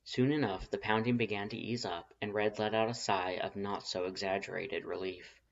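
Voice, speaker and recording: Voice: deep voice, fairly even intonation, normal speed. A fan fiction narrator reads scripted prose in a storytelling style. The home recording is a little dull and lo-fi.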